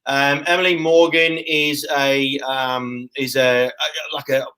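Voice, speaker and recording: Chatty male host, mid-range voice, fills pauses with ums, lavish with compliments. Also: medium pitch, level tone, bright, forceful tone, clean tone. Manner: chatty, many fillers, effusive praise. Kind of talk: live show interview.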